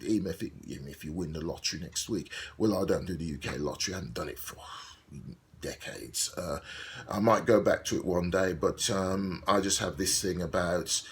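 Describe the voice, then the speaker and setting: A man, an actor, talking through his thoughts in a video diary, with a slightly croaky voice and a musing, repetitive way of speaking. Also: lowish voice. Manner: musing, repeats himself, digresses. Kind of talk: reflective casual monologue.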